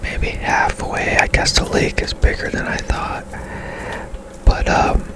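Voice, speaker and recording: Whispering, slow ASMR game host. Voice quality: soft, breathy whisper. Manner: slow, gentle, unhurried with pauses. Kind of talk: ASMR gameplay commentary.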